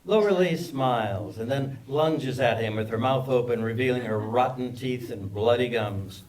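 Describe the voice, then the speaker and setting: A man's voice: mid-range voice, moderate pace, darkish tone. A man reading screenplay stage directions as scripted narration at a live table read. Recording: distant microphone at live reading.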